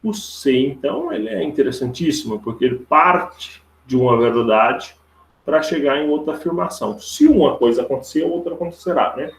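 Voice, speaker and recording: A Brazilian man with a creaky voice teaching a live online logic lesson step by step, informally, repeating himself and checking for agreement with tag questions. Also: medium pitch. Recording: roomy, echoey online class audio.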